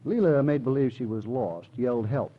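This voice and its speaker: An actor playing an urbane, breezy lawyer who talks in a polished, offhand, bluntly casual way in an old radio drama. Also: male voice, mid-range voice, lively intonation, moderate pace, close to an everyday voice. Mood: matter-of-fact. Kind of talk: 1950s radio crime drama acting. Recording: muffled old radio recording with noise.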